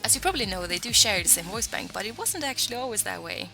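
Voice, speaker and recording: A young woman talks casually in a vlog, chatty and self-deprecating. Her mid-pitched voice has a gravelly fry to it. Music plays clearly behind her. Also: big pitch swings, fast talker. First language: Swedish. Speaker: voicebank creator answering fan questions.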